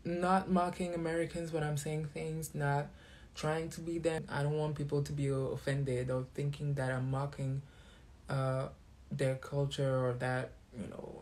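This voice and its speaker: A man's voice: medium pitch, a little mellow. A man from Belgium speaks English, though French is his first language. He delivers a casual, defiant monologue straight to his viewers, throwing shade at his critics with a sassy edge. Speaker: vlogger.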